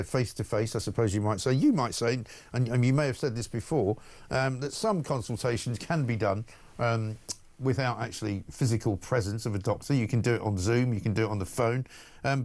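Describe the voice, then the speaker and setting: A man with a British accent and a mid-pitched voice, hosting a broadcast interview. He is chatty and opinionated, offering his own views and tossing tag questions to his guest. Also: darkish tone. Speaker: radio and TV talk show host.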